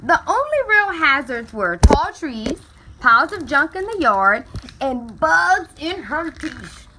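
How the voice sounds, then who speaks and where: A woman's voice: sits high, sing-song, slow, background noise. A woman reading a children's picture book aloud expressively, drawing out key words for dramatic effect. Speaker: storybook reader.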